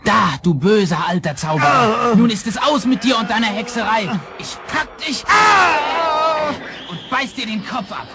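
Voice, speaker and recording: An actor playing a resolute young brother in a children's radio play, deliberate and incantatory as he casts spells. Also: high voice, animated, raised voice, over loud music. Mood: fierce. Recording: noisy vintage children's record.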